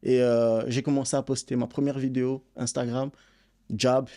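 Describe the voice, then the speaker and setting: A Belgian man, a boxing coach and content creator, telling his story in a relaxed, easygoing way as a podcast guest. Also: mid-range voice, moderate pace. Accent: Belgian French. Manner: relaxed storytelling, many fillers. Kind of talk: casual interview.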